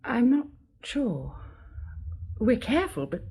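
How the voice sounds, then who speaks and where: An actress playing a candid, composed young secretary in a radio detective drama, answering in a guarded, matter-of-fact way. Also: female voice, a little high, big pitch swings, takes it slowly, gravelly, darkish tone, slightly rough, full character voice. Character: candid, composed young secretary having an affair with her employer.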